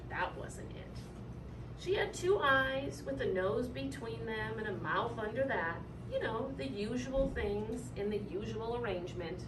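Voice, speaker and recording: A woman reading a children's picture book aloud in an animated, deliberate way with dramatic emphasis. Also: mid-range voice. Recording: echoey room, distant mic, clear noise.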